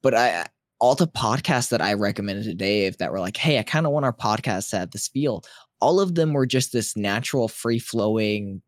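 Low, reflective male podcast co-host. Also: expressive, no room sound, studio quality. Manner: deliberately lowers his naturally high voice; reflective, thinks aloud in long sentences. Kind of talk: casual podcast chat.

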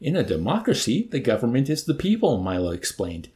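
A man reading an audiobook voicing a calm, scheming male officer who talks in a mildly reproving, chanting way. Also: mid-range voice, fairly quick. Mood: explaining.

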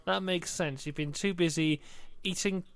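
A man's voice: sits high, fairly even intonation, normal speed, gravelly. A young man co-hosting a casual podcast chat, leading through the news and teasing his co-host with dry humour.

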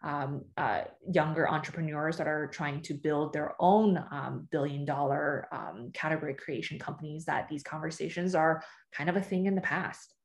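A woman with a notably low voice speaks as a startup founder in a relaxed interview. She talks in a loose, conversational way and keeps checking in with "right?" and "you know". Her delivery sounds unscripted and chatty. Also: moderate pace.

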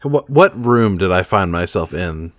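A man's voice: sits low, expressive, a little slow, fairly bright tone, dry recording, clean studio sound. A man bantering casually and improvising as a player in a tabletop roleplay game.

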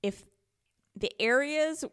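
A woman's voice: high voice, animated, takes it slowly, a bit bright. A woman co-hosting a podcast, casually discussing a video game in an informal, hesitant way as she thinks aloud. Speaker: podcast co-host.

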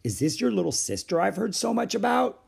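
A man's voice: high-pitched, big pitch swings, brisk, creaky, husky, voice pushed up, full character voice. Man playing a courteous, lilting lady librarian. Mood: friendly, interested. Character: understanding, courteous woman children's librarian.

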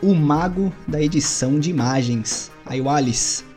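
A man narrating an audio drama and hosting a tabletop game, telling the story in a deliberate, dramatic way that slows for suspense. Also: on the high side. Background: faint music.

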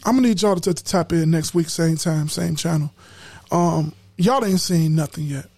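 A woman's voice: deep voice, normal speed, a little mellow. A woman hosting a podcast in casual, colloquial talk, emphatic and preachy, repeating words when fired up. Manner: colloquial slang. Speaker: podcast host.

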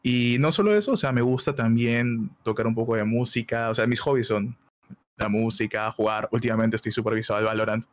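A man's voice: medium pitch, a little fast. Man, early 20s, Peruvian accent with Mexican touches, laid-back guest, laughs a lot. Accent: Peruvian Spanish with Mexican touches. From Peru. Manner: laid-back, laughs often, uses slang. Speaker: guest, illustrator. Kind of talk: casual chat on a stream.

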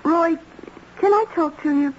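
An actress playing a naive, kind-hearted, plucky wife in an old-time radio drama, talking in a plain, earnest, colloquial way. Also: female voice, extremely high pitch, measured pace. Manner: wide-eyed, colloquial, earnest. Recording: dull, hissy old radio recording.

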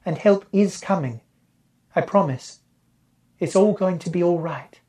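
Casting: male narrator playing a gentle female ship AI for an audio story, raised voice, soothing and reassuring. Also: high-pitched, unhurried, pitched above the performer's own voice. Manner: gentle, comforting.

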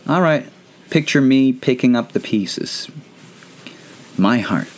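A man's voice: medium pitch, animated, takes it slowly. A Filipino man, not a native English speaker, hosting a casual, rambling, self-deprecating chat show. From Philippines. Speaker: podcast host.

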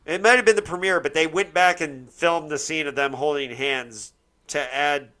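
A man's voice: a little high, normal speed, bright, forceful tone. A man hosting a casual discussion, rambling and correcting himself as he searches for words. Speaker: podcast host. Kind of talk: casual chat, TV show discussion.

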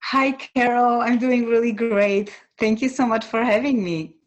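A woman from Poland, a native Polish speaker, telling her personal story as an interview guest in an earnest, measured, reflective way. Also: fairly high pitch, fairly even intonation, muffled recording.

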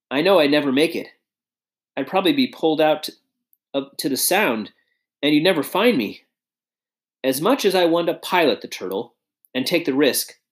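A man's voice: on the high side, pitched above the performer's own voice, close to an everyday voice. A man voicing a shy, earnest young inventor who speaks modestly.

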